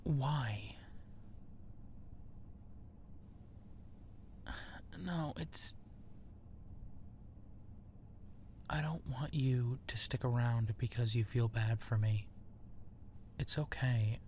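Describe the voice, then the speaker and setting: A man playing a gentle, awkward, self-deprecating werewolf friend in an intimate ASMR audio drama, speaking softly and haltingly. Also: medium pitch, fairly even intonation, slight background noise, natural voice. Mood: vulnerable, hesitant. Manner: hesitant, soft-spoken, halting, often slipping into whispers.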